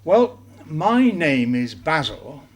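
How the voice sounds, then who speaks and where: A man with a light, raised voice that swings up and down a good deal. He talks in an unhurried, careful way, polite and measured, as a guest in a comedy series. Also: on the high side, very animated. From Wales. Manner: polite, slow and deliberate. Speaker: visiting foreigner.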